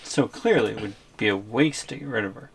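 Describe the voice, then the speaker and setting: A man's voice: lowish voice, a little fast, creaky, slight background noise. A man playing a wary, resigned captive boyfriend in an ASMR audio roleplay, hesitant and trying to reason his way along. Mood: coaxing.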